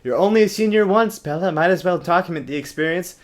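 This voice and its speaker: A man's voice: high-pitched, fast talker, pitched above the performer's own voice, lightly acted. A man voicing a perky, insistent young female vampire in a mocking book reading. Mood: perky, teasing.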